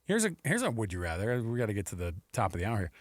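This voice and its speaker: A man's voice: on the low side, wildly swooping pitch, very fast, dry recording. An American man hosting sports talk radio in a casual, conversational style on a studio microphone. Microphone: close studio radio microphone.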